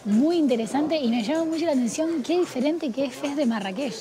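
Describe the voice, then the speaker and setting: A woman's voice: high voice, lively intonation, a little fast. Chatty Argentine woman in a busy market. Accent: Argentine Spanish. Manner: chatty, effusive. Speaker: travel vlogger. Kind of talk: casual chat on a travel vlog. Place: busy outdoor market in an old medina. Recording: busy crowd noise outdoors.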